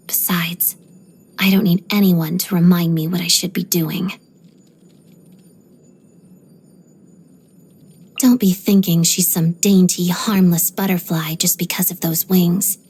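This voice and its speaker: An actress voicing a reserved, disciplined young ballerina in a video game, speaking softly in a measured, guarded way with quiet pride. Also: female voice, lowish voice. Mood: cool, businesslike. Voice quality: soft, breathy. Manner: measured, composed, polite but guarded. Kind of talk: video game character voice lines.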